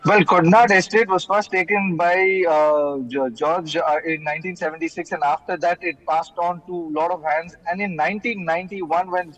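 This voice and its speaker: A man's voice: high-pitched, fairly even intonation, moderate pace, few pauses, a bit bright. A man explaining a news story as a correspondent. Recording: echoey hall-like reverb.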